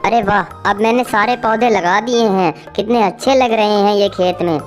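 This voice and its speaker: A woman voicing a cheerful, hardworking little female bird, eager and urging, in an animated children's story. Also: medium pitch, moderate pace, faint music behind, natural voice. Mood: delighted.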